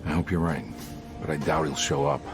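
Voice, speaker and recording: An actor playing a weary, haunted private detective in a horror video game, terse and wry but dogged. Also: male voice, a bass voice, fairly even intonation, brisk. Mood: dogged, troubled. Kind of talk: dramatic video game cutscene acting. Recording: music under the voice. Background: game music.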